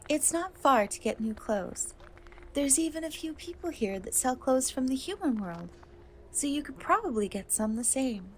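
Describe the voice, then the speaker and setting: A woman voicing a kind, timid half-cat young woman in a soft, hesitant fantasy ASMR roleplay. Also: sits high, sing-song, normal speed, rough recording. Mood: gentle, helpful. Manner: hesitant, soft-spoken, often slipping into whisper. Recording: noticeable background noise and ambience.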